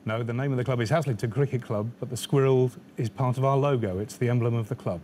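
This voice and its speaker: A man's voice: medium pitch, quick pace, dark tone, a little hiss or hum. An English man in his fifties, a quiz team captain, answering a host's questions. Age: 50s.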